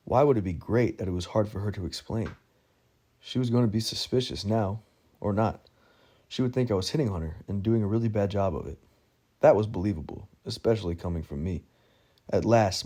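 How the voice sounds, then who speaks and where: Male audiobook narrator, low and mellow, dry self-deprecating wit. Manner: wry, self-mocking storytelling. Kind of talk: first-person audiobook narration.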